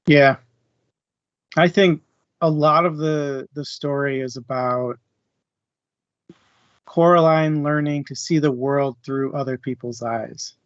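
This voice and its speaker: A man's voice: on the high side, slow pace, long pauses, dry recording, lo-fi sound. A man hosting a casual book discussion, talking informally as he works through his thoughts. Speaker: discussion host.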